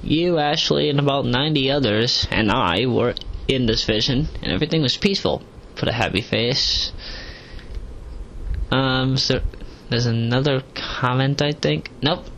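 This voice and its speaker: Man rambling over clear background noise. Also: medium pitch, measured pace, fairly bright tone. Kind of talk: rambling audio log reading out comments with asides. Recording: clearly noisy home recording.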